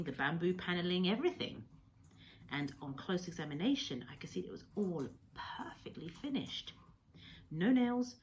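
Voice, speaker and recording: A woman reading a children's story aloud like a teacher, with measured, emphatic phrasing. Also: on the low side, slight background noise, rough recording. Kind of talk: expressive children's audiobook-style storytelling.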